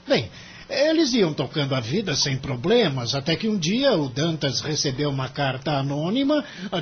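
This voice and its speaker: A Brazilian actor playing a cynical, money-minded senior lawyer in an audio drama, emphatic and condescending. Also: male voice, on the high side, husky, muffled recording, exaggerated voice. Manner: emphatic, condescending, theatrical delivery.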